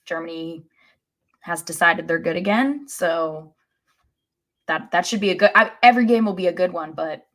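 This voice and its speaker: A woman's voice: on the low side, normal speed, pauses often, a bit bright. An American woman co-hosting a sports podcast in casual chat, opinionated and wry, with emphatic turns.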